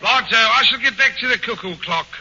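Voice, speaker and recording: A servile, stubborn butler. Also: male voice, extremely high pitch, brisk, bright, forceful tone, exaggerated voice. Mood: deferential. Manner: deferential, ends lines with sir. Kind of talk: 1940s radio comedy acting. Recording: muffled 1940 radio broadcast, faint hiss.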